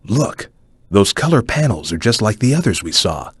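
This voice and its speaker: A man playing a calm, analytical ninja chameleon in a video game, giving wary hints in a deliberate, serious, husky voice. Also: on the low side, dry recording, studio quality, exaggerated voice. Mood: calm, watchful. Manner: deliberate, serious, formal, cautioning. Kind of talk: video game character hint lines.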